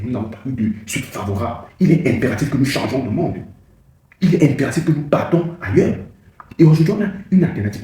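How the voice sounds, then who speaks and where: A man's voice: medium pitch, mellow, reverberant, slight background noise. A man hosting his own channel, giving an impassioned political speech with repeated phrases and emphatic rises.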